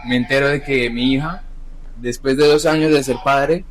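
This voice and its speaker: A young adult man, a chef, talking candidly about his life in a halting, self-correcting way. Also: mid-range voice, steady tone. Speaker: chef, podcast guest. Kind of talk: candid personal conversation.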